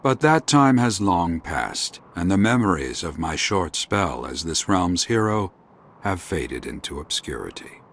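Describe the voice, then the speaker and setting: An actor playing a wise, self-deprecating old former hero in a video game, speaking in formal, archaic, measured diction. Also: male voice, deep voice, very animated, exaggerated voice. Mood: wistful. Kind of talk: scripted video game acting. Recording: music behind the voice.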